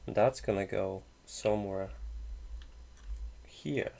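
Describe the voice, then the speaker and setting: Guy thinking out loud while building something, gravelly low-key voice, slightly broken english. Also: slow. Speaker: maker streamer. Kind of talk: casual live-stream chat while building.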